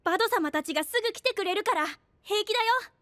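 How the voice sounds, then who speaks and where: A woman's voice: very high voice, expressive, fast, pushed and bright, dry recording, studio quality, heavily acted. A woman playing a sweet, trusting, polite little boy in an anime voice drama, with a simple, eager childlike way of talking. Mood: trusting, admiring.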